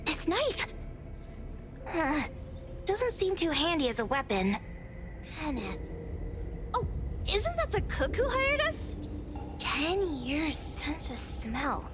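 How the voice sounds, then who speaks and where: Very high-pitched, feisty young girl. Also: very animated, slow pace, pauses often, over music, heavily acted. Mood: curious, surprised. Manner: brash, blunt, exclamatory. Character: feisty, hot-headed young girl, quick to threaten a beating. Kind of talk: video game character acting.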